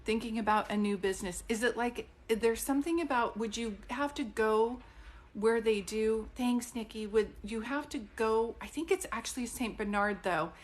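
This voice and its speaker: A middle-aged woman speaks in a fairly high voice, chatty and thinking aloud as she gives readings on a live stream. She often breaks off and restarts a word, asking her listeners whether it makes sense. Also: normal speed. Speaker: psychic medium.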